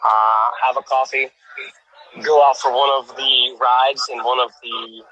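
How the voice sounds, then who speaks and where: A man's voice: medium pitch, fairly even intonation, unhurried, bright, forceful tone, highs cut off. A man chatting enthusiastically on a video call as an interview guest, talking with many restarts and fillers. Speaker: guest, cyclist. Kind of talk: casual video call chat. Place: cycling town, showing a cafe on camera.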